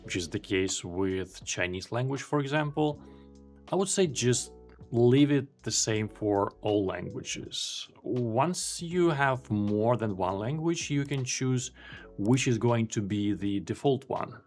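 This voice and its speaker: Male tutorial narrator, native Ukrainian speaker, calm and explanatory, mid-pitched voice, faint music bed. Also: slow pace. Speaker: software tutorial presenter. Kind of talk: screen-recorded software tutorial.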